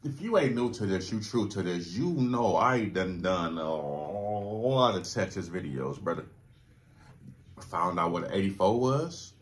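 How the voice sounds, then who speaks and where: A man's voice: deep voice, animated, a little slow. A man in his thirties with a Californian accent, giving casual reaction commentary in a slangy, profane way. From Long Beach, California. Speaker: reaction video host. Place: small room with foam-panelled walls.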